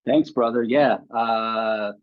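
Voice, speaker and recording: A man who is a comedian, joining a podcast by video call and talking in a relaxed, rambling way with fillers. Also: mid-range voice, slow pace. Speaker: comedian guest. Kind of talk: casual podcast chat. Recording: dull lo-fi web call.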